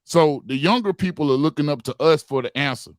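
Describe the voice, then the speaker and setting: A man's voice: on the high side, brisk, no room sound, clean studio sound. A man in his forties talking earnestly in a heated group podcast discussion, repeating phrases for emphasis. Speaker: podcast panelist.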